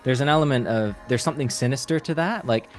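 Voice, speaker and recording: A man speaking as a voice actor guest in a casual interview, halting and thinking aloud as he goes. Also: medium pitch, faint music behind.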